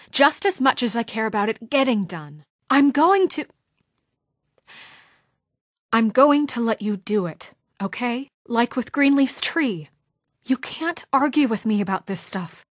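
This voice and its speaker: A woman's voice: on the high side, no echo, studio quality. A woman playing a principled young deputy mayor in a story video game, speaking with earnest, firm exasperation in naturalistic dramatic dialogue. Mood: firm, insistent.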